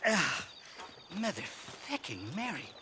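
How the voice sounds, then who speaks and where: Irish drunkard con man for a Western game: very high, swooping, cowardly, wheedling, over noticeable noise. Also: wildly swooping pitch, full character voice. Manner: slow, theatrical, blustering and wheedling. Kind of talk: video game cutscene acting.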